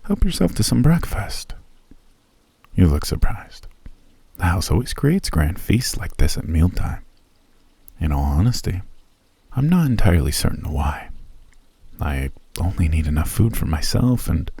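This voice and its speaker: A man voicing a courteous, centuries-old male elf who hosts a traveler in an ASMR roleplay, speaking in a gracious, formal, soft manner. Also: sits low, big pitch swings, unhurried, vocal fry, breathy edge, dry recording, exaggerated voice. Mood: friendly. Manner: gracious, formal and softly spoken. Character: ancient male elf host, courteous and genteel.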